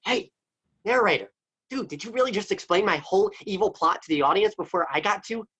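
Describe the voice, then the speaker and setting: A man's voice: high voice, expressive, brisk, pushed and bright, husky, lo-fi sound, full character voice. A man voicing a scheming wolf villain who talks casually and hesitantly. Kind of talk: amateur radio play acting.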